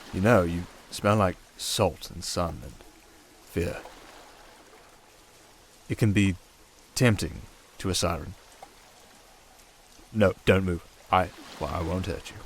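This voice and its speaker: A man's voice: sits low, slight background noise. A British man playing a sardonic, restrained siren in an intimate ASMR roleplay, speaking in a wry, hesitant, confiding way. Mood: tempted, brooding. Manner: wry, hesitant, confiding, addressing one listener. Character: sardonic, restrained, softly dangerous male siren sea creature. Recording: water and storm ambience behind voice. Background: running water and rain ambience.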